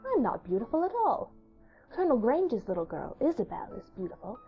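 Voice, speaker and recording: A woman's voice: on the high side, big pitch swings, brisk, phone-quality sound, faint music behind. A woman voicing a solemn, frank, imaginative little girl in an earnest way for an audiobook drama. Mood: earnest, puzzled. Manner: earnest, serious.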